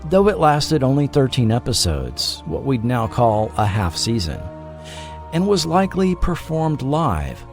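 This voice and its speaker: A man narrating a scripted documentary in a measured, deliberate way, letting his phrases fall at the end. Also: mid-range voice. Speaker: podcast host and narrator. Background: background music.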